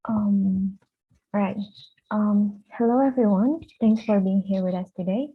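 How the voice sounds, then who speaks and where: A young woman from Indonesia, an architecture student, giving an academic presentation over a video call in a soft, hesitant way with many fillers. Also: mid-range voice, a little slow, husky. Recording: tinny phone-like line.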